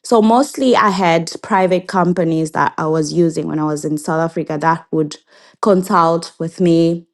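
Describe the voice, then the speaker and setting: A woman in her thirties from Zimbabwe, answering interview questions in a reflective way with frequent pauses and restarts. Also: low-pitched. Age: 30s. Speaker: guest.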